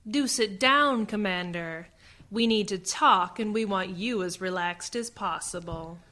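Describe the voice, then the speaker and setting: An actress playing a suspicious, sharp-tongued female fugitive in a radio science fiction drama, speaking in a crisp, pointed way. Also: medium pitch, unhurried. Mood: suspicious, cutting.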